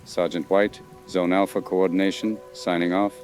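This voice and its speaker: An actor playing a dutiful army sergeant in a radio drama, giving grim reports in a formal, procedural, sober way. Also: male voice, deep voice, level tone, normal speed, darkish tone, soft background music, natural voice.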